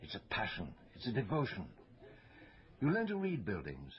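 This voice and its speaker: A man's voice: lowish voice, wildly swooping pitch, moderate pace. An actor playing an educated, reflective male doctor with a slightly pompous, digressive way of talking, in naturalistic radio drama. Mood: lofty, reflective. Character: educated, musing male doctor and lone amateur investigator. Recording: dull lo-fi radio recording.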